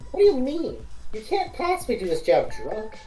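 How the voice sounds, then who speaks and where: A woman's voice: on the high side, huge pitch swings, moderate pace, mellow, roomy sound, faint music behind. A woman playing an exasperated, bossy young princess in a fantasy story reading, incredulous and scolding. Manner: incredulous, scolding, swooping emphasis.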